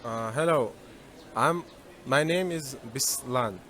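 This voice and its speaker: A man from Russia, an international student giving unhurried answers while groping for words. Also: medium pitch, noisy background. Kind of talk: casual spoken answers.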